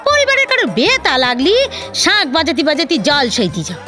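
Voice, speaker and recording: A boastful younger rustic housemaid acted by a woman in an audio drama, argumentative and sly. Her voice is extremely high and animated, with a colloquial rural West Bengal accent. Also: normal speed, music in the background. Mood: mocking, indignant.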